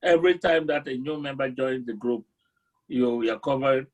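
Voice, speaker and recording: A man's voice: mid-range voice, normal speed, a little mellow, rough recording. A man from Cameroon, an insurance representative, earnestly explaining a group policy at a meeting.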